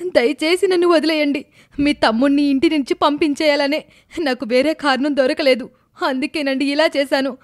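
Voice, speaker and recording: A woman acts a sly young village wife in an animated moral tale. Her voice is high, with a complaining, self-pitying manner. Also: close to an everyday voice. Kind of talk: animated moral story dubbing.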